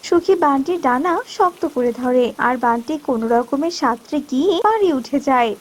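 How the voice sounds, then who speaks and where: A woman's voice: high voice, expressive, brisk. A woman reading scripted cartoon narration as the storyteller.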